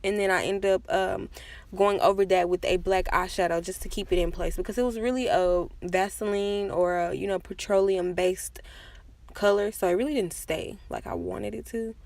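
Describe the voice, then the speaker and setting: A woman's voice: mid-range voice, steady tone, normal speed, vocal fry, a little mellow. A woman giving a casual, chatty makeup tutorial voice-over with self-deprecating asides. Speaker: makeup vlogger.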